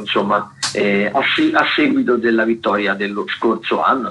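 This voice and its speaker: Man, radio presenter, mid-pitched voice, informal listings rundown, halting and digressive, background noise. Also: a bit bright, poor audio.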